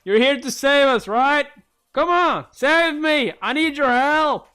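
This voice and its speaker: A man's voice: very high-pitched, slow pace, bright, forceful tone, soft background music, voice pushed up, exaggerated voice. A man voicing a bratty, sleepy, boastful young martial-arts prodigy in an impatient, whining way for a webcomic read-along. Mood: mock pleading.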